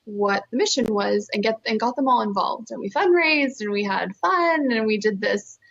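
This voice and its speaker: A woman's voice: on the high side, expressive, moderate pace, vocal fry, fairly bright tone, dull audio. A woman, telling her story as an interview guest in an earnest, enthusiastic, emphatic way. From California, born in Costa Rica. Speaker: interview guest, nonprofit fundraiser.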